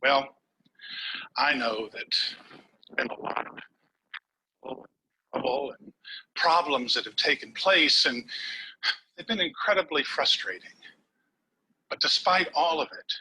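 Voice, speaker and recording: A man giving a prepared contest speech over a webcam, with dramatic pauses and words dropped to a whisper for emphasis. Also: medium pitch, animated, sharp tone, a little hiss or hum, rough recording. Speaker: contest speaker. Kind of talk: prepared speech delivered online.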